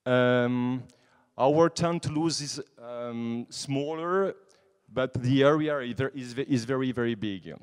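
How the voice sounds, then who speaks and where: A young French-accented man speaks in English at a forum, pausing often and reworking his sentences as he hunts for words. His mid-pitched voice rings out with a long reverb from a large hall. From France. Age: 20s. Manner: halting, frequent restarts, searching for English words. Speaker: conference speaker, night-life association president. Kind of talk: informal conference talk to an audience.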